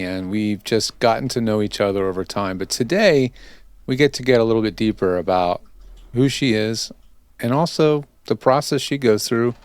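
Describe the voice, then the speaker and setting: A man's voice: lowish voice, a little mellow, clean tone, no room sound. A man hosting an interview in a conversational, encouraging way. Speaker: podcast interview host.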